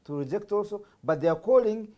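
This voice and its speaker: A man's voice: high voice, animated, brisk, dark tone, muffled recording. A man, a panel commentator speaking bluntly and laughing as he argues. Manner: blunt, laughs while talking. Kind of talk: talk show debate.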